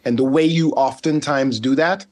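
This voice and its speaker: A man's voice: a little high, moderate pace. A man whose first language is Dutch, raised in the Caribbean, speaking as a guest in a conversational interview, thoughtful with frequent fillers and restarts. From Saint Martin, Caribbean. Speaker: guest, ethical hacker.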